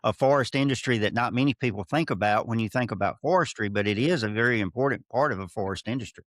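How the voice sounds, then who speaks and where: A man's voice: medium pitch, fast talker, dry recording, studio quality. A middle-aged man from Alabama with a Southern accent, hosting a podcast interview and drifting into friendly asides. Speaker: podcast host. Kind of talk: casual interview.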